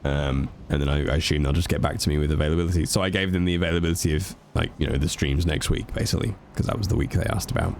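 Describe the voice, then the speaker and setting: A man's voice: a bass voice, rapid-fire, creaky, few pauses, a little mellow, slight background noise. A man streaming a roleplay game, chatting to viewers with a stuffy nose from a cold, rambling and swearing freely. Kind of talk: casual chat to viewers while playing.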